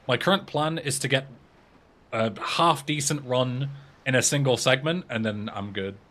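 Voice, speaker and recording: A man's voice: medium pitch, normal speed, pushed and bright, slight background noise. A young man in his twenties with an English accent, chatting casually on a livestream and answering viewers' messages aloud. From England. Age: 20s. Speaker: streamer and speedrunner.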